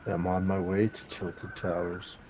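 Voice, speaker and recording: A man's voice: deep voice, flat delivery, measured pace, lo-fi sound. Man bantering over noisy game chat. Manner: slangy, teasing, swearing. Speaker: gamer in a squad voice chat.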